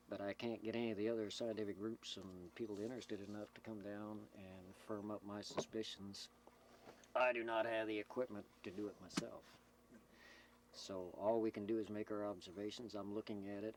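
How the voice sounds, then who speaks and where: A man who runs a community radio station explains things informally in an interview, halting and choosing his words carefully, on a noisy old documentary recording. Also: darkish tone. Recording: noisy 1990 documentary tape, vehicle or generator rumble.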